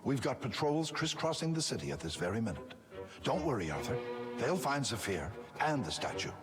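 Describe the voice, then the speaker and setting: A man playing a dignified, kindly father and ruler in a children's cartoon, speaking earnestly in measured, formal phrases. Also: mid-range voice, big pitch swings, natural voice. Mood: reassuring, composed. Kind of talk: animated series voice acting. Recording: music under the voice.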